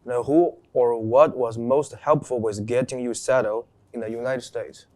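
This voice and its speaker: A man's voice: medium pitch, steady tone, unhurried, darkish tone. A teenage boy interviewing a guest for a class project, reading questions and answering with short murmurs. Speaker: student interviewer. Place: school studio.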